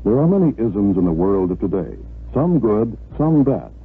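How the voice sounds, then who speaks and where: Husky, low-voiced old-radio male announcer. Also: big pitch swings, moderate pace. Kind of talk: 1950s radio public-service narration, scripted. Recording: tinny narrow-band old radio recording.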